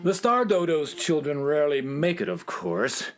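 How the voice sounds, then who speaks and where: A man's voice: a little high, sing-song, normal speed. An actor playing a cheerfully dim male alien guide in a children's radio drama, chatting like a chipper salesman. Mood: matter-of-fact. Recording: faint music behind.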